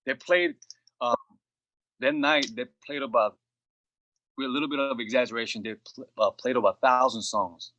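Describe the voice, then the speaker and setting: A man speaking casually on a video call interview, telling stories about recording with a relaxed, rambling manner full of "you know". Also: medium pitch, normal speed, pauses often, dull audio, a little hiss or hum. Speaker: label head and producer guest.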